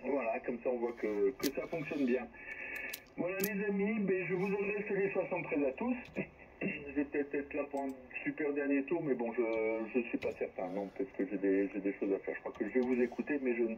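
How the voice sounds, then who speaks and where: A man's voice: mid-range voice, expressive, a little fast, darkish tone. A man talks casually over amateur radio, hesitating and repeating himself as he goes. The transmission is dull and band-limited, with a faint layer of noise. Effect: shortwave radio transmission. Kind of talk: casual ham radio chat. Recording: dull, lightly noisy radio link. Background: faint radio static.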